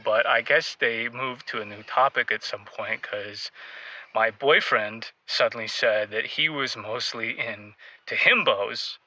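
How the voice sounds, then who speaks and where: Bright, forceful, dim himbo caller. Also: male voice, mid-range voice, low-quality recording. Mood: earnest, hurt. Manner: naive, emphatic, literal. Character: dim, earnest, eager himbo radio caller. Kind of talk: scripted comedy acting.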